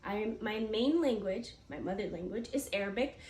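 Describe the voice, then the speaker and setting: A woman's voice: medium pitch, normal speed, darkish tone. A teenage girl from the United States giving a prepared class speech, earnest, with small slips and restarts. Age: teens. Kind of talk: prepared speech read aloud. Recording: echoey room, mic far off, faint noise.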